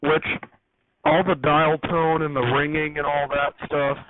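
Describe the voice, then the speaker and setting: A man's voice: fairly high pitch, little pitch movement, measured pace. A man giving an unscripted technical walkthrough as a hobbyist host, rambling and correcting himself as he explains. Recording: noisy, tinny phone-like audio.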